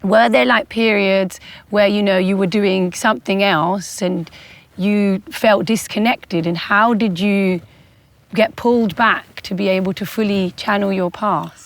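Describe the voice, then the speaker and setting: A woman's voice: medium pitch, fairly even intonation, measured pace, no echo. A woman interviewing in a conversational way, with informal, roundabout phrasing as she leads into her questions. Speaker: interviewer, host.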